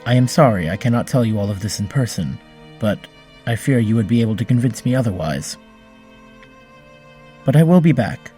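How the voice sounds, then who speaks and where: Audio drama android hero played by a man: earnest, formal, soft dark tone, faint music beneath. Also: medium pitch, expressive, a little fast, pauses often, lightly acted. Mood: earnest, tender. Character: earnest, formal, gentle male android superhero.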